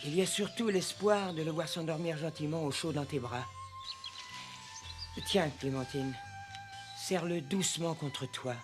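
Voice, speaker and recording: A man voicing a gentle, compassionate animal doctor in a cartoon, in a soothing manner. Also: a little high, measured pace, pauses often, music in the background, lightly acted. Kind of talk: cartoon voice acting.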